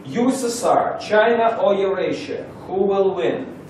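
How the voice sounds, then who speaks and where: Slow Russian-accented man in echoey hall. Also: high voice, expressive, a little mellow, a little hiss or hum. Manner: halting, many filler pauses. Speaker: lecturer. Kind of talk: seminar introduction. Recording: roomy echo from far off.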